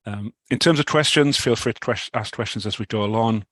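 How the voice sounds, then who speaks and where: A middle-aged British man with a cold, giving a talk over a video call, halting with many restarts. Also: fairly low pitch, fairly bright tone, no echo. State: has a cold, clears throat. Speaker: guest speaker. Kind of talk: online talk presentation.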